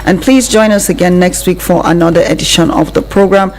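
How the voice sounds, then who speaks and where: A woman's voice: on the low side, fairly quick. A woman with a Nigerian accent hosting a radio programme in a conversational live presenting style.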